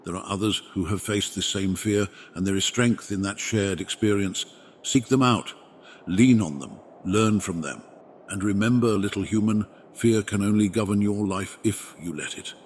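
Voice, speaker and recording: A man voicing a wise, protective dragon in an ASMR roleplay, speaking in a formal, measured and reassuring way. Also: on the low side, slight background noise, lightly acted. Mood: calm, advising. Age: adult parent with younglings. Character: wise, gentle, protective male dragon mentor. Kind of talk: ASMR roleplay, scripted comforting monologue.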